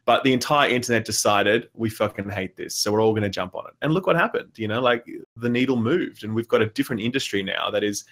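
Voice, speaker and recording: A man's voice: on the low side, fast, fairly bright tone. A man giving his opinions bluntly and emphatically in a casual discussion, swearing freely. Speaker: podcast guest.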